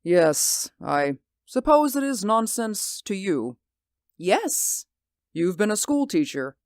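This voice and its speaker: An actor in a 1940s radio drama playing a haunted, sleepless man who pleads in an intense, hushed voice. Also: high-pitched, sing-song, takes it slowly, clear, resonant tone, dry recording, studio quality, full character voice. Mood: brooding.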